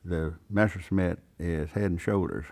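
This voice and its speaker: A man's voice: deep voice, fairly even intonation, measured pace, a little mellow. An eighty-year-old man, a veteran pilot telling stories in a plainspoken, folksy way. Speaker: veteran warbird pilot recalling his career. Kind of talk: unscripted storytelling interview.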